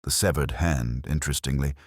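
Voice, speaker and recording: A man voicing a gravely enthusiastic doctor in an audiobook, clinical and formal in manner. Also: a bass voice, a little fast, dry recording, studio quality. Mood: grave enthusiasm. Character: short, gravely enthusiastic male doctor examining remains.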